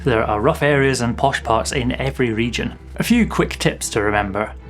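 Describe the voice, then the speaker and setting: A man's voice: medium pitch, normal speed, a bit bright, soft background music. A Scottish man, a tabletop game master, giving friendly but opinionated tips in scripted narration.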